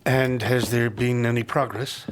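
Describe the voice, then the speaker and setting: A man's voice: medium pitch, flat delivery, a little slow, slight background noise, natural voice. An actor playing a middle-aged, domineering aristocratic father, mocking and theatrical in an audio drama. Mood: affable, distracted. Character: domineering aristocratic father, head of a grand estate.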